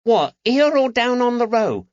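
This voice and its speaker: A man voicing a cheeky, eager young street urchin with a high-pitched voice and slangy talk, in an audiobook. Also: sing-song, measured pace, darkish tone, no room sound, muffled recording, heavily acted. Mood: proud, chatty. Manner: colloquial street slang, bargaining.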